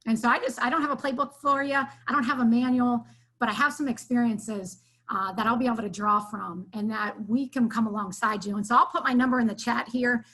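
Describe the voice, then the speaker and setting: A woman's voice: on the high side, fairly bright tone. Heartfelt woman coach on video call. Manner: heartfelt, reflective, many fillers. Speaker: softball coach. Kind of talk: panel remarks over video call.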